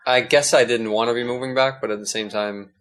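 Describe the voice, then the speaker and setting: A young man from Denmark, guest on a chat podcast, with a middle-pitched voice. He talks casually and circles around his point, with fillers and false starts as he looks for the words. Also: steady tone, a little fast, a bit bright. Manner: rambling, hesitant, many restarts and fillers. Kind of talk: casual conversation.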